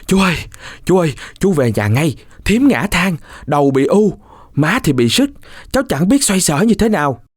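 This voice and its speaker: Man putting on a high-pitched mischievous young boy. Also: sing-song, normal speed, breathy edge, dry recording, studio quality. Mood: fake panic. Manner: urgent, exaggerated calling out. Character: mischievous lying orphan boy, pleading and crying out.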